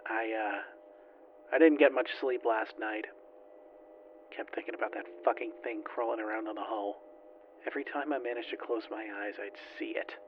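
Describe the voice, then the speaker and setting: A man playing a shaken, weary lone surviving spaceship flight engineer, recording a halting, confessional log in an audio drama. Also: on the low side, lo-fi sound, slight background noise, natural voice. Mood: haunted, tired.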